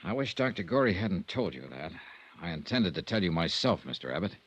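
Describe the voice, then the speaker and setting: An actor playing a persistent, dry American insurance investigator in a 1950s radio detective drama, asking clipped, businesslike questions. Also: male voice, low-pitched, sing-song, brisk. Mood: measured. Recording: dull, dry vintage radio recording.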